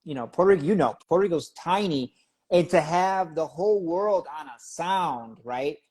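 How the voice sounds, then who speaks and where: A man with a high-pitched voice hosts a casual interview. He talks informally, in loose slang, as if chatting with a friend. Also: lively intonation, moderate pace, darkish tone, breathy edge. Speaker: interviewer, magazine editor.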